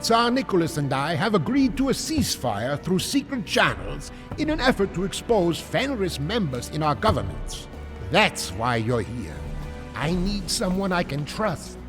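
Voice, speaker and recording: An earnest, imperious monarch in a game drama. His voice is fairly high and swings expressively, ringing in a long reverb. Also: normal speed. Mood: grave, earnest. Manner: formal, imperious, animated. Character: embattled, commanding male monarch, earnest and proud. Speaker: voice actor. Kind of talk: dramatic game cutscene acting. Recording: long hall reverb with music.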